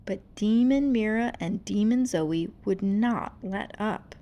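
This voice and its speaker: A woman reading a storybook aloud in an expressive, suspenseful, dramatic narrating style. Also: medium pitch, slow. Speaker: storybook reader.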